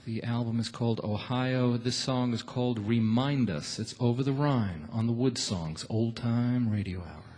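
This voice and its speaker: A man's voice: mid-range voice, moderate pace, hardly any pauses, a little mellow. A man hosting a live radio show, interviewing and announcing from a theatre stage.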